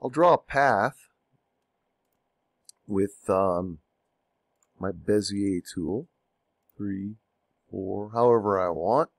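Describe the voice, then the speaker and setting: Male tutorial narrator, slightly stuffy from a cold; low-pitched, dry close mic, relaxed and hesitant. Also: dry recording. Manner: unhurried, thinking aloud with ums and self-corrections. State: getting over a cold. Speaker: software tutorial instructor. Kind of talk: screen-recorded software tutorial.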